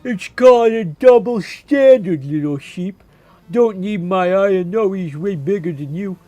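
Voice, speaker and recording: A man's voice: sits high, a little slow, mellow, no echo, soft background music, voice pushed up. A man voicing a surly, lazy giant cyclops from a video game, grumbling and taunting. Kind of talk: video game character dialogue.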